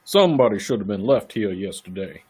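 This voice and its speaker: A man playing a brisk county attorney in a radio play, husky and firmly questioning. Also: on the low side, expressive, a little mellow. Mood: probing, official. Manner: questioning, in control.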